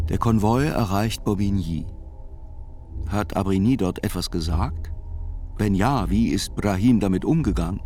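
An actor narrating as a measured, dryly ironic chronicler of a trial in a literary radio play. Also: male voice, fairly low pitch, a little mellow. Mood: probing. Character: probing, wry male chronicler of a trial.

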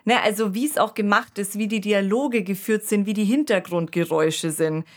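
A woman speaking in a measured, analytical way as co-host of a radio discussion. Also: mid-range voice, fairly even intonation.

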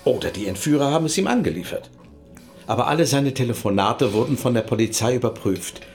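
A man acting in a German radio drama as an earnest lawyer appealing for help in a deliberate way. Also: mid-range voice, lively intonation, a little mellow, soft background music, close to an everyday voice. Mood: earnest, pleading. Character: earnest, pleading male lawyer seeking help.

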